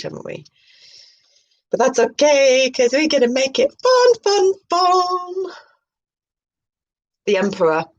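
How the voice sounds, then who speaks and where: A muffled, lo-fi recording of a woman hosting a spiritual video. She speaks slowly at an ordinary female pitch, moving between reading aloud and playful, singsong asides. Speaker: video host. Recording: muffled, dull lo-fi sound.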